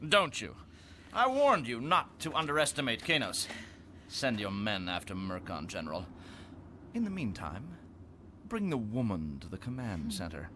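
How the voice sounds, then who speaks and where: A man's voice: lowish voice, sing-song, moderate pace, bright, forceful tone, a little hiss or hum. An actor playing a cruel, imperious male warlord who barks threats and clipped orders in a space opera audio drama. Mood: gloating, menacing. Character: menacing Imperial warlord, a cruel commanding man.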